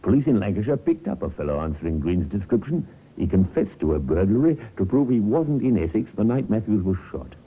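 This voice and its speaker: Stern police inspector, lowish voice, clipped and bossy, old tinny radio play. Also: male voice, big pitch swings, brisk, husky. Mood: businesslike. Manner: clipped, authoritative. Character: stern, patient male police inspector hunting a killer. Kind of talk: 1950s radio drama acting.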